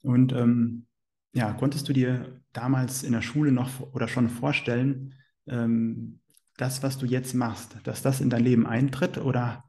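Man with a mellow voice, rambling questions. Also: mid-range voice, little pitch movement, unhurried. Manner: long rambling questions, frequent hesitations. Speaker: interviewer. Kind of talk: casual interview conversation.

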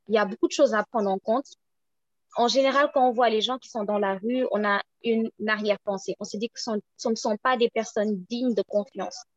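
A woman from Senegal with a Senegalese French accent, speaking as a guest and making an earnest, measured appeal for support. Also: medium pitch, a little mellow, dry recording, dull audio. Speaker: guest, project founder.

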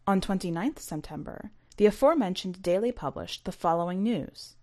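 A woman's voice: lowish voice, animated, normal speed, mellow. A woman hosting a fiction podcast, speaking in a scripted, read-aloud presenting and narrating style. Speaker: podcast host and story reader.

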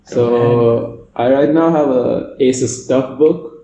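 A man's voice: medium pitch, takes it slowly, warm tone, echoey room, muffled recording, a little hiss or hum. A young man raised in Oman, co-hosting a podcast and telling an easygoing story. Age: young adult. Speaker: podcast co-host. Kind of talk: casual chat.

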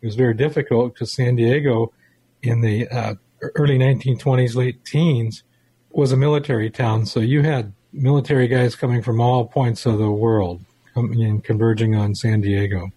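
A man's voice: medium pitch, fairly even intonation, normal speed, warm tone, breathy edge, poor audio. An American man in his sixties, reminiscing conversationally as co-host of a talk show. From United States. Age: 60s.

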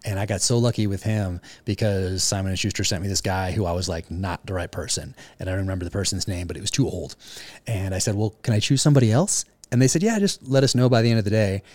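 A man who is an author, chatting casually in an interview and telling relaxed stories with plenty of fillers. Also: sits low, quick pace, no room sound. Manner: relaxed storytelling with frequent fillers.

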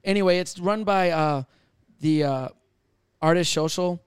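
Podcast host, man in his thirties, loose and profane, slightly high, smooth tone. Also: unhurried, dry recording, studio quality. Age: 30s. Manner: loose, swearing, rambling with fillers. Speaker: podcast host and stand-up comedian. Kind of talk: casual podcast banter.

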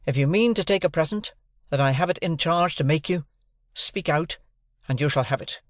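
A man performs a brusque city lawyer for an audiobook, pitched above his narrating voice. He is formal and commanding, clipping out his points and insisting on them. The sound is dry and close. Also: normal speed, no echo, close to an everyday voice. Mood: stern warning. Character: brusque, domineering male London lawyer. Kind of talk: audiobook character dialogue.

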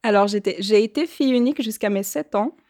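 A woman around thirty, raised between French Guiana and northern Brazil, with Portuguese as her mother tongue. She speaks as an interview guest, telling her own story in a conversational way at a medium pitch. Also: fast talker, a little mellow.

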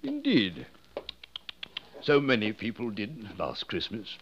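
A man's voice: fairly low pitch, huge pitch swings, takes it slowly, lo-fi sound, noisy background, exaggerated voice. An actor playing a genial, slightly flustered clergyman in a 1950s radio drama.

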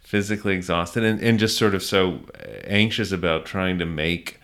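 A middle-aged man speaking as an interview guest, telling a story conversationally and haltingly, often acting out his own thoughts. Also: low-pitched, steady tone, fairly bright tone. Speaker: interview guest, small business owner.

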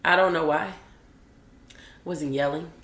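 A woman with a raspy voice co-hosting a podcast, chatting casually and laughing often. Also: sits low, fairly even intonation, unhurried, fairly bright tone, echoey room. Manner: laid-back, laughing often. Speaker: podcast co-host. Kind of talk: casual chat.

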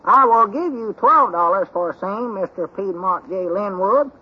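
An actor playing a folksy, muddle-headed and excitable country man with a Southern US accent in an old-time radio comedy. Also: high-pitched, unhurried, breathy edge, exaggerated voice. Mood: halting, eager. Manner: folksy, easily distracted, rambling. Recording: muffled, worn old radio recording.

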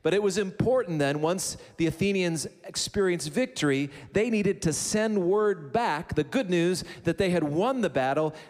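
Pastor preaching in a reverberant hall. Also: male voice, sits high, expressive, moderate pace, hardly any pauses, darkish tone. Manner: conversational preaching with asides and humor. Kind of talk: sermon. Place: church sanctuary. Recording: long reverb of a church hall.

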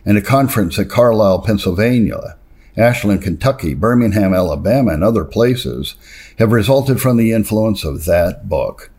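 A man's voice: fairly low pitch, animated, normal speed, a little mellow, breathy edge. A man reading a first-person testimony aloud in an earnest, plain-spoken storytelling way. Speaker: reader.

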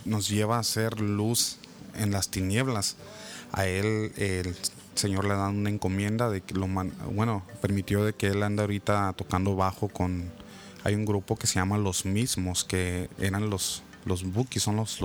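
A man with a somewhat low voice hosts a live radio interview. He talks in a relaxed, chatty way, sprinkling in religious remarks. Soft music runs faintly behind him. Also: moderate pace. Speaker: radio host and music producer.

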